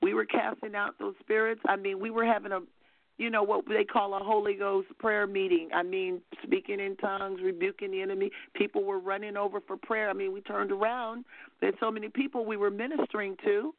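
An older woman minister, soft-spoken but fervent, telling a story as testimony on a call-in broadcast. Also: mid-range voice, level tone, brisk, creaky, no room sound. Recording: phone-line audio.

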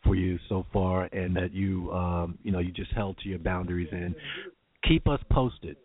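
A man hosting a call-in radio show, brisk and keeping callers to the clock. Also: sits low, steady tone, phone-quality sound.

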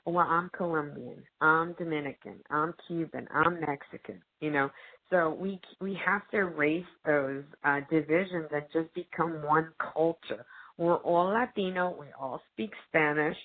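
A woman's voice: low voice, unhurried. A woman actress as a guest in a casual discussion, talking earnestly and emphatically with many fillers. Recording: narrow phone-like audio.

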